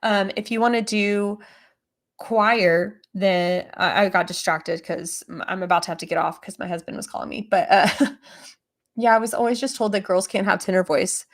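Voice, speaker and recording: A young adult woman chatting casually on a livestream, rambling with many fillers, her voice lower than usual today. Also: moderate pace. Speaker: streamer answering chat.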